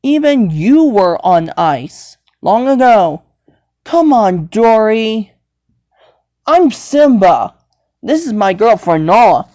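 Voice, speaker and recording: A woman's voice: mid-range voice, very animated, slow pace, dark tone. A woman voicing a bossy young male lion in improvised character play, lecturing and exasperated.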